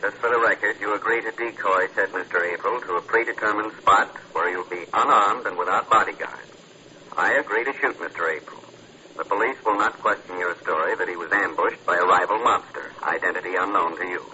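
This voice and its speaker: Sharp, low scheming crook. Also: male voice, fairly quick, lo-fi sound. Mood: coolly businesslike. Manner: formal, dictating, exact. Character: scheming, businesslike small-time male crook. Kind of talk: 1950s radio drama acting. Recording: noisy, thin old radio recording.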